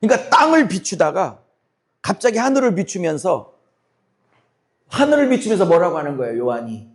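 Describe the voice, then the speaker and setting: A middle-aged man preaching a sermon to his congregation, his voice hoarse and cracking. He fires rhetorical questions at the listeners and speaks bluntly and forcefully. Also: sits high, very animated, a little fast. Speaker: pastor.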